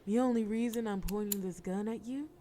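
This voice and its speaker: A woman's voice: mid-range voice, brisk, mellow, slight background noise, close to an everyday voice. A woman playing a sly, flirtatious mafia boss in an audio roleplay, with a wry, teasing, formal way of talking. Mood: smug, coaxing. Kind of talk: scripted audio roleplay acting.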